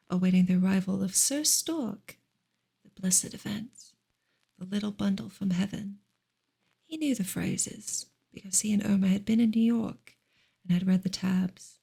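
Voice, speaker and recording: Breathy, creaky ASMR woman reading softly. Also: mid-range voice. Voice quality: breathy, whispery. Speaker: ASMR streamer reading a novel aloud. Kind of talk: ASMR soft reading, largely whispered.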